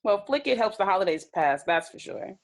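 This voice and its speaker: Actress as lively, candid young woman fan. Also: mid-range voice, fairly quick, close to an everyday voice. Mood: gushing, blunt. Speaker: actor in a scripted table read. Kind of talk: comedy script read aloud. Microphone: video call.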